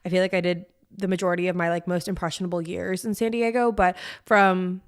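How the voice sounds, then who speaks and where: A woman's voice: on the low side, flat delivery, fast, clear, resonant tone. A young American woman hosting a solo podcast, talking casually and effusively, with informal, emphatic phrasing. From Connecticut, US East Coast. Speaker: podcast host.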